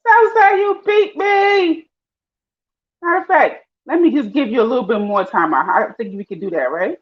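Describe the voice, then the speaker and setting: A woman with a Panamanian accent, chatting casually with her viewers while she cooks, lively and full of slang. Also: normal speed. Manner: lively, chatty, slangy, swings high when excited. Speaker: vlogger. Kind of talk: casual live-stream chat while cooking. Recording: dull lo-fi audio.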